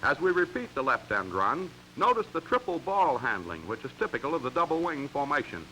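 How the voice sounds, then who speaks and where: A man's voice: medium pitch, big pitch swings, fairly quick, pushed and bright, slight background noise, low-quality recording. An American man reading scripted narration for a 1940s sports training film in an emphatic, instructional way, on a vintage soundtrack. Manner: crisp, emphatic, instructional delivery.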